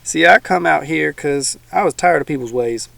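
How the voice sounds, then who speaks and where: A man doing a gruff old swamp hermit. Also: fairly high pitch, moderate pace, fairly bright tone, no room sound, pitched above the performer's own voice, heavily acted. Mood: menacing. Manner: folksy backwoods drawl, growling.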